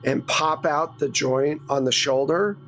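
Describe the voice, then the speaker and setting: Slow-talking man running a tabletop game. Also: on the high side, a bit bright, a little hiss or hum. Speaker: game master. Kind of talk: narrating a tabletop horror roleplaying game. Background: background music.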